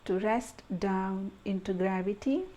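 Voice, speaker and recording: A woman leading a guided meditation in a gentle, soothing manner, speaking in short measured phrases with pauses between them. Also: mid-range voice.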